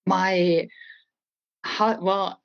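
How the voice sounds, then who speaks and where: Middle-aged woman, Estonian accent, low-pitched voice, conversational interview guest, dry close sound. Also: level tone, very slow, creaky, no echo, dull audio. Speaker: interview guest, real estate trainer.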